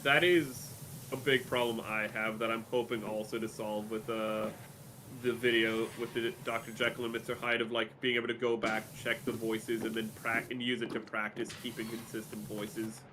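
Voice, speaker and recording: A man's voice: lowish voice, level tone, normal speed, background noise. A man streaming a game, talking casually and animatedly, with a rambling flow full of fillers. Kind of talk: casual chat while gaming.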